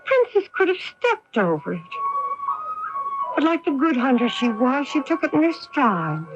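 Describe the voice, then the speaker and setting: A woman playing a bitter, wounded wife and mother in a 1980s radio drama, emphatic and theatrical, with deliberate pauses. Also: sits high. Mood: tense recounting. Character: bitter, wounded wife and protective mother, faded society belle. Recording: dull lo-fi off-air radio recording.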